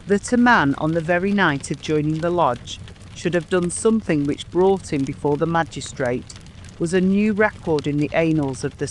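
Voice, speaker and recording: A man reading scripted literary narration as an audiobook storyteller in a measured manner. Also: a little high, poor audio. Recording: clear background ambience noise.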